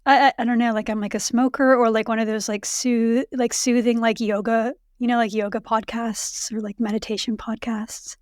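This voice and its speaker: A woman in her thirties hosting a casual podcast chat, her voice raspy and hoarse from late pregnancy, talking in a chatty way with many fillers. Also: fairly high pitch, flat delivery, moderate pace, few pauses, a little mellow, no echo, clean studio sound. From Canada. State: heavily pregnant, voice going hoarse. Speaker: podcast host.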